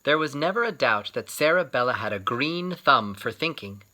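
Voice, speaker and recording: Man, children's story reader, very animated intonation, bright tone, theatrical phrasing, dramatic pauses. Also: medium pitch. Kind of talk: expressive read-aloud storytelling.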